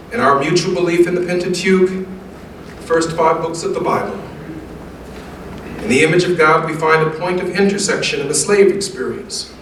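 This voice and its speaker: A man's voice: a little high, a bit bright. Echoey, distant American man giving a speech. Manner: measured, emphatic, rhetorical repetition. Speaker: guest speaker delivering remarks. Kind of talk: formal oratorical speech, preacher-like. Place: large echoey indoor event room. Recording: very echoey room, distant mic, faint noise.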